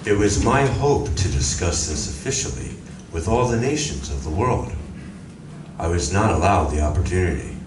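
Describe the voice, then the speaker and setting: A man playing a calm, grave alien visitor in a live radio drama, speaking in a measured, formal and deliberate way. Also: deep voice. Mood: grave warning. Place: library community room, live performance. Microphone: audience member's distant recorder. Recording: echoey room from far off, noisy.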